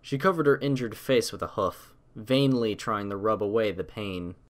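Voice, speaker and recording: A man's voice: fairly low pitch, normal speed. A man reading scripted story narration as the narrator of an audio tale.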